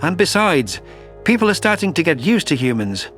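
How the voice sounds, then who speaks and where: Man voicing calm, pragmatic alien military advisor. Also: on the high side, normal speed, soft background music, voice pushed down. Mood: pragmatic, reassuring. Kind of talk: audio drama narration dialogue.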